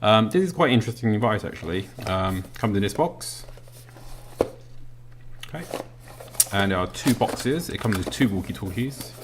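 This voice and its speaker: A British man casually walking through an unboxing, talking as he handles the items with lots of ums and pauses. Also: lowish voice, noisy background. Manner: relaxed, filler-heavy, talks while handling items. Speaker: product reviewer.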